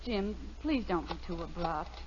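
A woman playing a patient, gently chiding suburban wife in a 1950s radio comedy. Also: lowish voice, expressive, measured pace. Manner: placating, gently reproving. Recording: muffled, noisy old radio recording.

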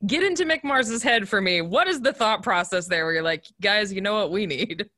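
Bright-voiced woman hosting music radio. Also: medium pitch, lively intonation, moderate pace. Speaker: radio show host. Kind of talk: music radio presenting and interviewing.

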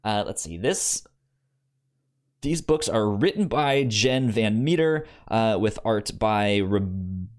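Male podcast co-host, highly animated intonation, casual discussion style, halting delivery with frequent restarts. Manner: halting, repeats words and restarts often.

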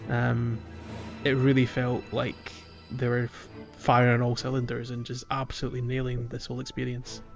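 An enthusiastic man co-hosting a casual game podcast. His mid-pitched voice has a gravelly fry, and the sound is slightly dull with music behind it. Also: monotone, normal speed. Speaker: podcast co-host. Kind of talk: casual game discussion chat.

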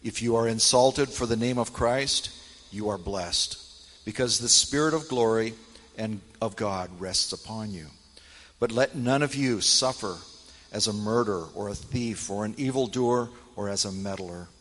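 A man with a fairly low, husky voice reads scripture aloud in a solemn style. He phrases deliberately and measuredly, and long reverb of a hall trails his words. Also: fairly bright tone. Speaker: church elder reading scripture. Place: large church hall, speaking to congregation.

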